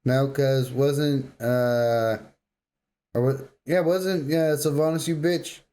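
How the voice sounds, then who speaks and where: A man's voice: medium pitch, steady tone, slow pace, mellow. A man co-hosting a gaming podcast, bantering in an informal, slangy way. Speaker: podcast co-host.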